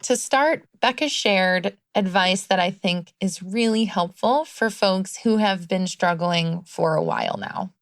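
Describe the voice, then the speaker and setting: A woman's voice: mid-range voice, big pitch swings, a little slow, few pauses, no echo, clean studio sound. A woman hosting a podcast as a recovery coach, talking in an earnest, encouraging way. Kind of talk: scripted podcast monologue.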